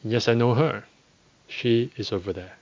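A man teaching a podcast language lesson, speaking slowly and deliberately and repeating phrases for learners. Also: medium pitch, darkish tone, lo-fi sound.

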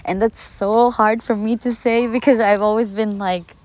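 A woman's voice: a little high, fairly even intonation, moderate pace, a little mellow, no room sound. A woman in her twenties from the Philippines, speaking in an earnest, conversational way in a reflective personal podcast monologue. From the Philippines, later the United States. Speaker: podcast host.

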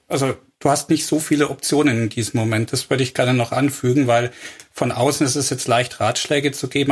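A man's voice: mid-range voice, slightly rough. A man in a casual discussion who pushes back with direct, challenging questions.

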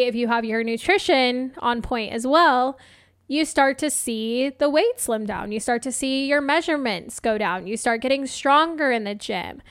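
A woman hosting a solo motivational podcast as a coach, chatting casually and encouragingly, her voice slightly hoarse. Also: fairly high pitch, no echo, clean studio sound. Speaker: podcast host and life coach.

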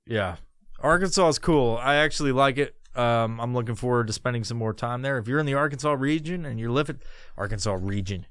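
A man's voice: medium pitch, fairly bright tone, dry recording, studio quality. A middle-aged man from New York hosting a podcast, swearing constantly and laughing through rambling stories. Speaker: podcast host. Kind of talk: profane storytelling monologue.

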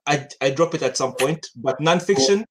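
A man's voice: fairly high pitch, moderate pace, a little hiss or hum. A young man from Uganda, a studio co-founder, chatting casually on a podcast with many restarts and easy laughs.

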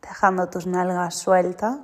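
A woman guiding a yoga nidra relaxation as a teacher, giving short, soothing, deliberate instructions. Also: on the low side, level tone, darkish tone. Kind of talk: guided yoga nidra meditation. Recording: long reverberant hall-like echo.

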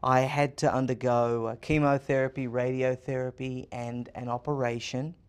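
A man's voice: mid-range voice, level tone, normal speed, smooth. A confident man in his thirties with an Australian accent, a guest answering interview questions in emphatic, list-like phrases. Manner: emphatic, confident, rhetorical lists. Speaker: podcast guest.

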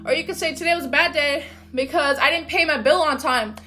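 A loud, upbeat woman, hosting her own motivational podcast. Her voice sits high and bright, and she talks in an encouraging, casual way. Soft guitar music plays faintly behind her. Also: brisk. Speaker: podcast host. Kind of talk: motivational solo podcast talk.

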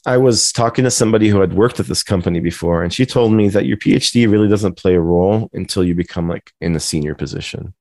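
Deep gravelly guy co-hosting a chatty podcast, jokes at his own expense, no room echo. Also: quick pace, hardly any pauses, darkish tone. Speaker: podcast co-host. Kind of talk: casual podcast chat.

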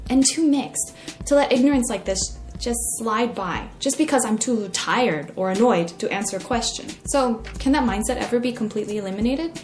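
Young female, American, expressive podcast essay narrator, higher-pitched voice, roomy sound. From United States. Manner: expressive, acts out quoted remarks. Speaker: podcast host. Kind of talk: scripted personal essay narration. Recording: roomy echo, faint music.

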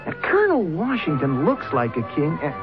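An actor playing a jealous, plainspoken young rustic Virginian who stammers in folksy country talk, in an old radio drama. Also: male voice, on the high side, wildly swooping pitch, a little fast, over music. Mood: folksy, eager. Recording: dull old radio recording.

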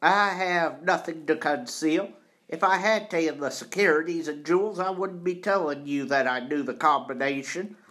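A man's voice: on the high side, normal speed, fairly bright tone, close to an everyday voice. A man voicing an honest, anxious caretaker in a storybook, earnest and defensive under accusation.

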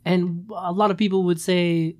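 Radio interviewer, mellow low-lit tone, effusive and encouraging, casual conversational delivery. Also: level tone, moderate pace. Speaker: radio show host and interviewer. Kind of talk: warm casual radio interview with enthusiastic praise.

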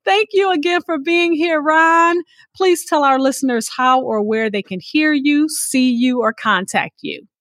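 A woman's voice: high voice, measured pace, fairly bright tone, no echo, clean studio sound. A woman hosting a radio show in an effusive, encouraging manner.

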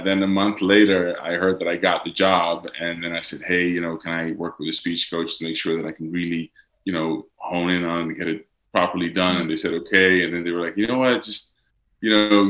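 Dutch-native male actor, low voice, crisp bright edge, loose interview chat. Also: roomy sound, low-quality recording. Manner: relaxed, rambling, with many fillers.

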